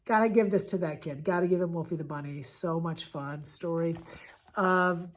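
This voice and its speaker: A man's voice: fairly high pitch, normal speed. An American man speaks like a schoolteacher sharing favorites in a casual book vlog. His delivery is enthusiastic and emphatic. He gushes freely in informal, conversational language. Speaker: elementary school teacher and book reviewer.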